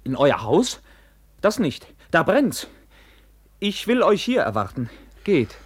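Impetuous young knight, wildly animated delivery. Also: male voice, on the high side, slow, husky, no room sound, exaggerated voice. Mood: bitter, sarcastic. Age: young adult. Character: impetuous, proud young knight templar, ardent and touchy. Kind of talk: 1950s German radio drama acting.

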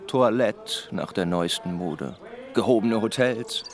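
Radio play role: grand, boastful businessman husband, lowish voice, slow delivery, music underneath. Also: expressive. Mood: grand, persuasive. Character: Karl Hau, boastful spendthrift husband and businessman. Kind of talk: radio drama acting.

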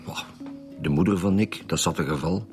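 Deep-voiced Flemish police chief inspector, played by a man. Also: moderate pace, a little mellow, soft background music, natural voice. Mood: calm, businesslike. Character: calm, dogged male police chief inspector. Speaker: actor in a radio serial. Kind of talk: radio drama acting.

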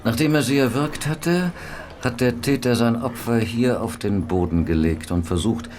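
An actor playing a dry, unflappable Victorian police doctor in a radio play, matter-of-fact and clinical. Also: male voice, medium pitch, moderate pace, a little mellow, a little hiss or hum, close to an everyday voice. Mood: clinical, absorbed. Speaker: actor in an audio drama. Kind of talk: German radio play acting.

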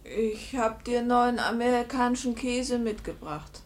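A woman playing a patient, anxious middle-aged wife in a 1950s German radio drama, speaking in a gentle, often hushed, soothing way. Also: fairly high pitch, unhurried, natural voice. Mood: placating. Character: patient, anxious, devoted wife of an ageing salesman.

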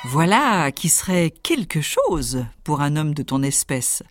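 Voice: mid-range voice, big pitch swings, takes it slowly, loud music behind the voice. A storyteller voicing a boastful, cunning little male tailor who brags in a cocky, self-satisfied way.

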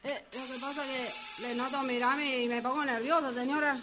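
A woman's voice: high voice, fairly even intonation, brisk, noisy background, close to an everyday voice. A woman playing a nervous, servile, muddled maid in a radio drama, stammering and deferential, with an Argentine accent. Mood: flustered, apologetic. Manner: stammering, deferential, rambling, tangled grammar.

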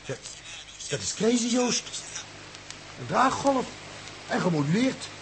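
Excitable, blunt male spaceship crewman, animated. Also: high voice, measured pace, background noise, heavily acted. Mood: excited. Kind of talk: 1960s Dutch radio drama acting.

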